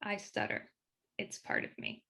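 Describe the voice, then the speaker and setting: A woman in her twenties, a medical student with a mild stutter, making calm, measured statements about herself. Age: 20s. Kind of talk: personal testimony.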